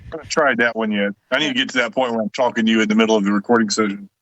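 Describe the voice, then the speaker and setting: A man's voice: lowish voice, steady tone, racing, fairly bright tone, no room sound, lo-fi sound. An American man chatting casually as a guest, with a wry, conversational way of talking. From United States, Illinois. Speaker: guest voice performer. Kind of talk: casual chat.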